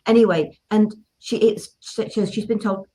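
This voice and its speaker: A woman chats casually as a host. Her pitch is average for a woman, and she talks in an emphatic, chatty way, circling back over her phrases. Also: fairly even intonation, measured pace, dark tone. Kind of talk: casual chat.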